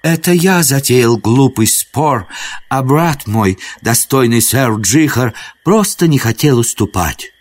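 A man's voice: mid-range voice, lively intonation, takes it slowly, darkish tone, pitched above the performer's own voice. A man voicing a gallant, earnest young foreign prince with ornate, formal knightly speech in an audiobook tale. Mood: solemn apology.

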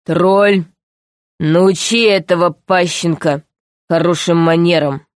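A woman voicing a calm, mockingly sweet male underground tyrant in a storybook, speaking in a slow, deliberate, commanding way. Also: lowish voice, no room sound, studio quality. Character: calm, menacing male underground ruler, mockingly sweet, power-hungry villain.

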